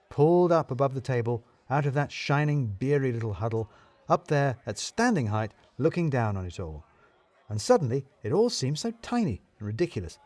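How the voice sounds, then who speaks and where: A man's voice: mid-range voice, a little mellow, no room sound. A man narrating a scripted comic story in the first person, with a wry, wordy, theatrical manner.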